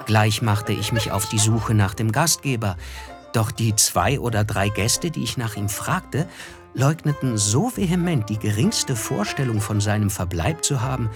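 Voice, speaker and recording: An actor playing a reserved, observant young man who narrates a literary radio play in a measured way. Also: fairly low pitch, expressive. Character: reserved young male narrator, observant, slightly self-conscious. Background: music.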